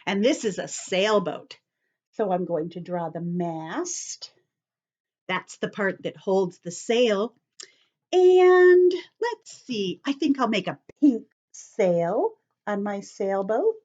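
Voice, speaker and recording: A woman teacher talks young children through a calm-down drawing activity, slow and unhurried, her pitch swinging up and down as she encourages them. The sound is dull and lo-fi, like a home video recording. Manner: gentle, encouraging, talks directly to kids.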